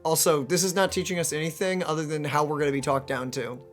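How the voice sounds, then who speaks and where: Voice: medium pitch, level tone, fast talker, faint music behind. A gaming host giving casual, chatty, reactive let's play commentary.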